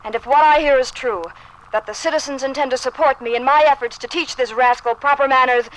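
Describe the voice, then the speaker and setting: A woman's voice: sits high, fairly quick, lightly acted, low-quality recording. An actress playing a resolute, indignant 1850s woman newspaper editor with formal, righteous delivery in a 1950s radio drama. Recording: 1950s radio broadcast from vinyl record.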